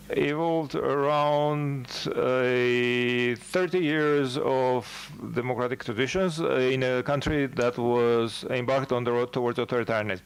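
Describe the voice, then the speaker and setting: A man delivering a formal academic lecture as a scholar, speaking in measured, halting phrases. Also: medium pitch, clean tone, poor audio.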